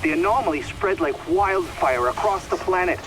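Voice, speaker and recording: A man's voice: sits high, lively intonation, normal speed, noisy background. An actor playing a thoughtful man in a video game, reasoning things out aloud. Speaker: game character. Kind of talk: video game cutscene acting. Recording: distant voice under loud game music.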